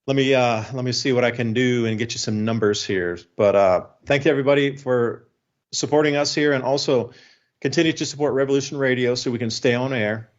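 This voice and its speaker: A man's voice: medium pitch, fairly quick, dull audio. A man hosting a radio show with informal, off-the-cuff commentary.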